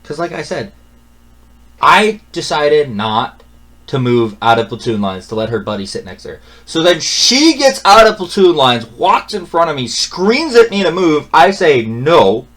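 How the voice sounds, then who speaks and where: A young man telling a personal story in a casual rant, exasperated and repetitive, dropping to a mutter and then rising loud. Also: fairly high pitch, big pitch swings, a little slow.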